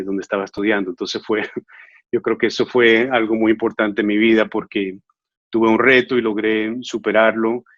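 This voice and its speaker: A man from Venezuela in his thirties, an immigration lawyer answering interview questions in an earnest, measured way, with frequent filler sounds. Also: fairly low pitch, no room sound, highs cut off.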